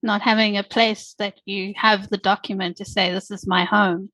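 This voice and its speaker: A woman interviewing a guest, gently prompting him with sympathetic follow-ups. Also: mid-range voice, flat delivery, moderate pace.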